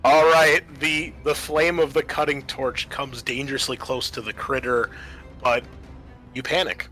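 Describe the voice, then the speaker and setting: A man's voice: medium pitch, level tone, unhurried, bright, forceful tone, low-quality recording. A man acting as game master, narrating and refereeing a tabletop roleplaying game. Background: background music.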